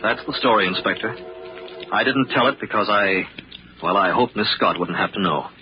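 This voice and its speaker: An actor playing a cool, wry investigator in a vintage radio drama. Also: male voice, on the low side, moderate pace, soft background music, close to an everyday voice. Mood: subdued. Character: cool, wry male investigator, confronting a blackmailer. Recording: noisy, muffled old radio recording.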